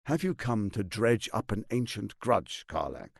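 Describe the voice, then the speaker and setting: A man playing a smooth-tongued, condescending tyrant lord in a video game, with a pompous, theatrical delivery and deliberate emphasis. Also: fairly low pitch, big pitch swings, no room sound, studio quality, full character voice. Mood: smug, persuasive.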